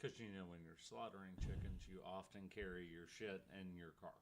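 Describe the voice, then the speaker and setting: A man's voice: low voice, fairly even intonation, a little fast. A man co-hosting a podcast with sarcastic, mock-indignant comic riffs and casual swearing. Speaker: podcast co-host.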